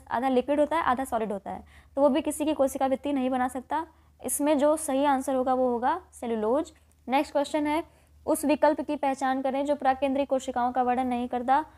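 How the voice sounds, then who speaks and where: High-pitched Indian woman teacher. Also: a little mellow. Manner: explanatory, repeats and rephrases key points. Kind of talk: exam lesson, explaining quiz questions and answers.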